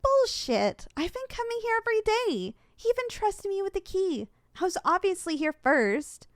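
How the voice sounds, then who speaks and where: A woman plays a young succubus student with a high, swooping voice. She is possessive and competitive, blunt when indignant and quick to get flustered. The delivery is ASMR roleplay acting, recorded dry and close. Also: very animated, dry recording. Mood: defensive.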